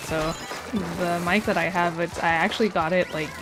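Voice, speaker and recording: Low-voiced young woman streamer, easygoing. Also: moderate pace, noisy background. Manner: relaxed, easygoing, says "like" often. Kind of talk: casual chat while gaming. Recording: loud game music behind. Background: game music.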